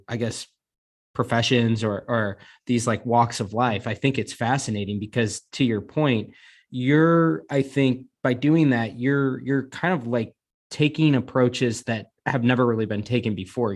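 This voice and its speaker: Male podcast host, middle pitch, chatty and reflective, kinda rambly with lots of asides. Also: a little mellow. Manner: reflective, complimentary, loosely worded. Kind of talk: conversational podcast interview.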